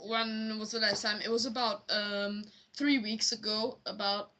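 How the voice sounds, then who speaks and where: A woman playing a hapless, clueless customer on an improvised prank call, talking in halting, rambling stammers. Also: medium pitch, steady tone, pushed and bright. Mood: flustered. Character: hapless, clueless gamer customer pleading for help. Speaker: prank caller.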